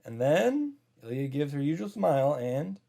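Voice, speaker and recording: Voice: medium pitch, sing-song, normal speed, creaky, warm tone. A commentator reading a visual novel story aloud and reacting to it. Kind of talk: narrated visual novel read-through with reactions. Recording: music under the voice. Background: game music.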